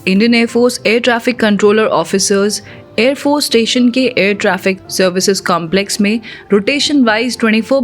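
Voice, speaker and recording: A woman with an Indian accent presenting scripted, formal explanatory narration with many English terms mixed in. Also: mid-range voice. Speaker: presenter of an exam-preparation channel. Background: background music.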